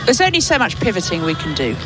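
A woman's voice: on the low side, huge pitch swings, quick pace, rough recording. A woman co-hosting a sports show, reading out listeners' messages with casual, playful banter. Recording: music playing behind, outdoor venue. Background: DJ music at outdoor venue.

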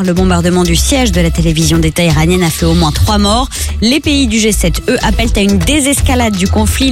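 A woman reading the morning news on the radio with a steady, formal delivery. A clear music bed runs underneath her voice. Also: mid-range voice. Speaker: newsreader. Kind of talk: read news bulletin.

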